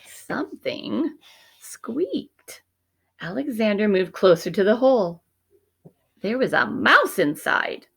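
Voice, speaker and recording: A woman teacher narrating a children's picture book expressively, measured and dramatic, often dropping to hushed tones. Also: mid-range voice, sing-song, gravelly, slightly rough.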